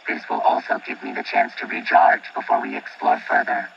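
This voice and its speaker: Calm formal robot bodyguard, super gravelly, filtered, muffled far off sound w lots of noise, radio play. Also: male voice, fairly quick, exaggerated voice. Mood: calm, reassuring. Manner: formal, precise, unemotional machine-like phrasing. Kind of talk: audio drama acting. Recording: distant, muffled, very noisy with vehicle rumble.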